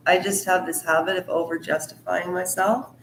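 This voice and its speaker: A woman's voice: on the low side, flat delivery, echoey room, a little hiss or hum, poor audio. A woman hosting her own channel, reading a book aloud and stopping often for rambling asides of her own. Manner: slow, deliberate delivery. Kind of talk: book reading mixed with casual commentary.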